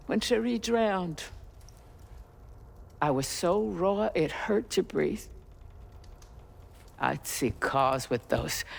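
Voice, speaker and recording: A woman's voice: low-pitched, gravelly, breathy edge, exaggerated voice. An actress playing a bitter, grieving, defiant mother in a dramatic video game. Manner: bitter, intense.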